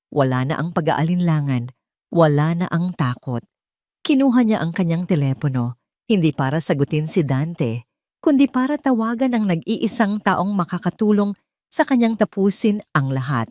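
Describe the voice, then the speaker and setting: A woman narrating a scripted romance drama in a solemn, deliberate way, with dramatic pauses. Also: lowish voice, darkish tone, dry recording. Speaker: story narrator.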